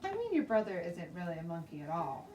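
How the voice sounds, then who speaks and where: A woman voicing a patient male school principal, gently explaining in a measured way in a children's storybook read-aloud. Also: fairly low pitch, huge pitch swings. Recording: roomy, distant mic, faint noise.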